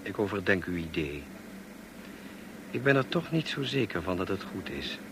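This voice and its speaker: An actor playing a reserved, brooding, worldly gentleman in a radio drama, speaking in a measured, clipped, dry way. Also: sits low, big pitch swings, exaggerated voice. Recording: clear background noise, car ambience. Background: car ambience.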